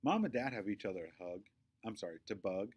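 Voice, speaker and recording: A man voicing a sullen, defiant young boy who tells his own hurts in a children's novel read aloud, expressive and aggrieved. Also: fairly low pitch, brisk, husky. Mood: hurt, sulky.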